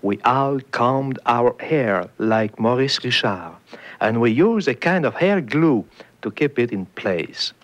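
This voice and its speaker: A man from Quebec with a French Canadian accent narrating childhood memories as an expressive storyteller. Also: medium pitch.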